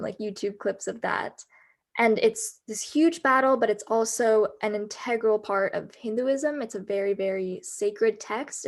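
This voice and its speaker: An American young woman in her late teens, chatting eagerly about the play she wrote. Her voice sits at an everyday middle pitch, and her talk is explanatory and lively, with frequent "like"s. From United States. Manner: enthusiastic, explanatory, with fillers like "like". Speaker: student playwright. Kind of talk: casual discussion on a video call.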